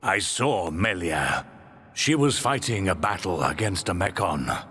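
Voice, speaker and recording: An actor playing a dignified older emperor in a video game, grave, measured and formal, with a husky voice. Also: male voice, sits low, expressive, bright, forceful tone, exaggerated voice. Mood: grave, troubled. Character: solemn, dignified older male emperor and father. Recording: long hall reverb.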